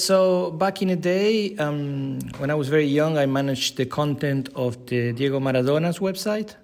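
A man's voice: medium pitch, darkish tone. Middle-aged Argentinian man in conversation. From Buenos Aires, Argentina. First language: Spanish. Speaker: podcast guest, digital content manager. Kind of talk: conversational interview answers.

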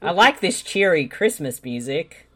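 Sarcastic man with a lively voice. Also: fairly high pitch, unhurried, slightly rough. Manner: dry, sarcastic quips. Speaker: co-host. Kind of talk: casual movie riffing.

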